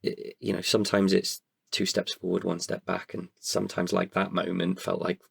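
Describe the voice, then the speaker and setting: A British man with a low, husky voice talks in a reflective interview. He is candid and thoughtful, feeling his way hesitantly through his answers. The sound is close and dry. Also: level tone, normal speed, no echo. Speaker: podcast guest, performer.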